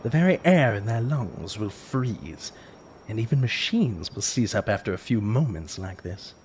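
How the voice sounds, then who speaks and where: An actor playing a cold, cruel weather mage in an audio drama, speaking with smug, deliberate menace. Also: male voice, lowish voice, big pitch swings, darkish tone, slight background noise. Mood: smug, menacing.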